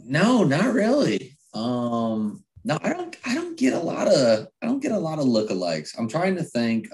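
A man's voice: on the low side, darkish tone. A man from the Midwest in casual chat, modest and hesitant, trailing off as he talks.

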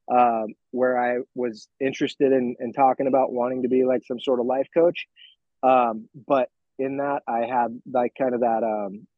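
An American man in his forties speaks as a coach being interviewed, candid and reflective, choosing his words haltingly. His middle-pitched voice comes through dry, with a slightly dull, lo-fi sound. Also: no echo. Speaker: fitness coach, podcast guest. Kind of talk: informal interview, personal storytelling.

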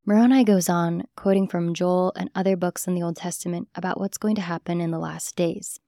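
A woman's voice: lowish voice, steady tone, brisk, creaky, mellow, dry recording, studio quality. A woman hosting and reading scripted documentary podcast narration. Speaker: host and narrator.

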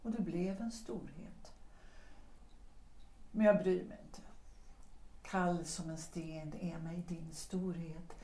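A woman's voice: lowish voice, steady tone, long pauses, dark tone, natural voice. A woman playing a disillusioned wife in an audio drama, speaking earnestly and often dropping to a whisper. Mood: bitter. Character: disillusioned wife who rejects an old lover. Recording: roomy echo.

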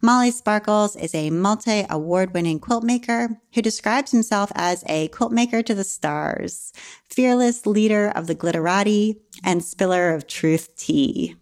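A woman hosting a podcast, her voice in a middle pitch. She reads the sponsor spot and chats with her guest in a friendly, upbeat way and laughs easily. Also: unhurried. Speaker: podcast host. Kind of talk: podcast interview and read ad.

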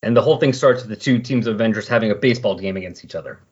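A man with a husky voice chatting casually on a podcast, explaining and recapping in a rambling way. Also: lowish voice, rapid-fire, darkish tone. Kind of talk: casual comic book discussion. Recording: dull lo-fi voice recording.

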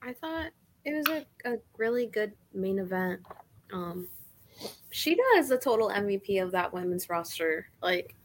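A woman's voice: mid-range voice, expressive, normal speed, a little hiss or hum. A woman chatting casually on a podcast video call, hesitant and full of fillers. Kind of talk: casual chat.

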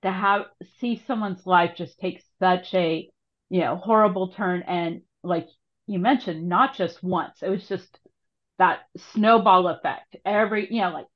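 A woman's voice: on the low side, measured pace, muffled recording. A woman hosting a conversational podcast interview, engaged and affirming as she builds on what the guest says.

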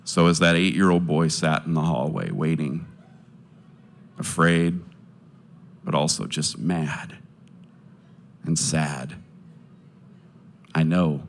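A man with a low voice speaking earnestly to a live audience, sharing his testimony and appealing for support, with many pauses and restarts. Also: steady tone, slight background noise.

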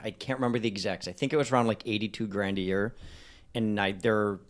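A man's voice: fairly low pitch, fairly even intonation, fast. A man, a dentist, a guest on a casual podcast, explaining his training at length.

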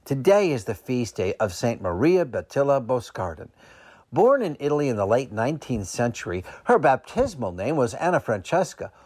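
Male announcer, scripted radio read, mid pitch, animated intonation. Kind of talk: scripted narration, radio weather and saint of the day.

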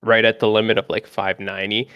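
A man's voice: fairly low pitch, level tone, quick pace, clean tone, no echo, dull audio. Rambling guy, dry close sound. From Canada. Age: 20s. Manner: rambling, chatty, lots of fillers. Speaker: podcast guest. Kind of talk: casual gaming podcast chat.

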